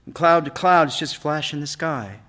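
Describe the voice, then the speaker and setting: A moody, insistent schoolboy played by a man reading a story speaks emphatically, with an exasperated edge. The voice is pushed higher and rings with long hall reverb. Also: a little fast, sharp tone. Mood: curious, explaining. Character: grieving young son, moody, insistent schoolboy.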